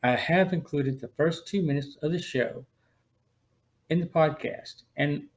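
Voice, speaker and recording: A man's voice: on the high side, measured pace. A man hosting a podcast, reading scripted narration and commentary. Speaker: podcast host.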